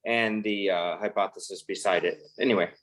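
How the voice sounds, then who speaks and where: A man's voice: low-pitched, steady tone, a little fast, fairly bright tone, rough recording. A man guest at a tasting who talks casually with dry, wry humor. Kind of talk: casual chat.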